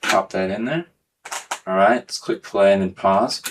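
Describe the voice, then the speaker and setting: A man with a slightly low voice talks casually as he hosts a hands-on demonstration. The recording carries some faint noise and small clicks behind his words. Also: a little slow. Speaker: host of a tech demonstration video. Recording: clearly noisy recording with clicks.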